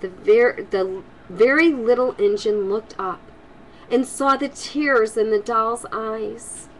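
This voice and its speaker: An older woman, a grandmother, speaking to children in an expressive, homely storytelling style. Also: on the high side, rough recording. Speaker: grandmother reading stories for children. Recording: home recording with faint background noise.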